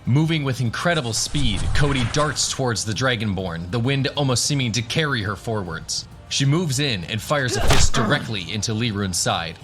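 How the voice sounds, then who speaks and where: A man's voice: mid-range voice, expressive, moderate pace, few pauses, music in the background. A man narrating a scripted fantasy adventure as the storyteller of an audio drama. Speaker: narrator, game master.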